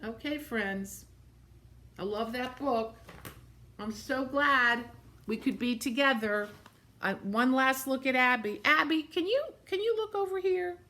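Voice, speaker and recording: Slow-paced woman reading to children. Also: high-pitched, sharp tone. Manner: simple explanatory phrasing, as to young children. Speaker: storyteller reading a picture book aloud to children. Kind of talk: expressive read-aloud with asides about the pictures.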